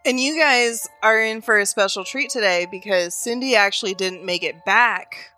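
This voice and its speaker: Bright, joking woman podcast co-host. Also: medium pitch, moderate pace, soft background music. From the American South. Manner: joking, self-deprecating, laughs a lot. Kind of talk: casual chat.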